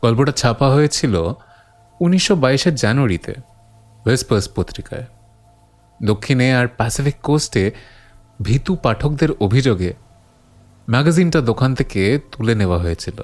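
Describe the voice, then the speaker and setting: A man playing a stubborn, imaginative horror writer in an audio story, deliberate and argumentative, with dramatic pauses. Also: mid-range voice, very animated, darkish tone, natural voice. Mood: brooding narration. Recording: clear background ambience noise.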